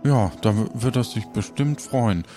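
A man's voice: mid-range voice, moderate pace, music in the background, voice pushed down, lightly acted. A man playing a shy, easily overlooked fellow in an audio adventure, stammering and repeating himself. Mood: unassuming.

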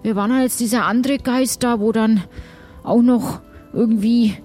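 A woman's voice: on the high side, steady tone, moderate pace, warm tone, faint music behind, natural voice. A woman playing a chatty, loyal, naive male pirate in an improvised adventure, rambling along colloquially and hesitantly. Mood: eager, puzzled.

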